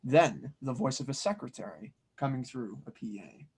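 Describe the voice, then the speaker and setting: A man's voice: medium pitch, animated, moderate pace, breathy edge. A man acting as host and narrator, introducing and reading stage directions at a script table read.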